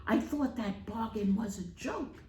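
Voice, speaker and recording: A woman's voice: on the high side, animated, measured pace, mellow, roomy sound, slight background noise. A woman voicing a haughty, dismissive young princess in a children's storybook read-aloud. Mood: dismayed.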